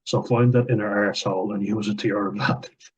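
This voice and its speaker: A man's voice: on the low side, fairly even intonation, quick pace, breathy edge. A young man reading out viewer comments and answering them in blunt, sweary casual chat, with frequent fillers. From Ireland. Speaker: vlogger. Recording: dull lo-fi recording.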